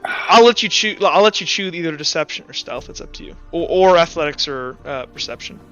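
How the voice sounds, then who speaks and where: A man's voice: on the high side, lively intonation, a little fast, fairly bright tone, highs cut off, soft background music. A man speaking casually as game master of a tabletop roleplay game, thinking aloud as he goes.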